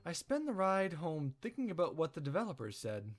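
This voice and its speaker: A man hosting a casual playthrough, reading a visual novel aloud with expressive storytelling. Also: fairly high pitch, a little fast. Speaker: let's play host. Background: game music.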